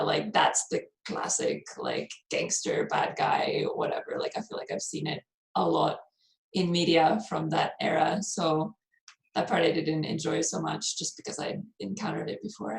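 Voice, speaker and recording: A woman's voice: fairly low pitch, vocal fry. A woman talking casually and musingly in a book club discussion over a video call. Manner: informal, musing, non-committal. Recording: roomy, distant mic, video call.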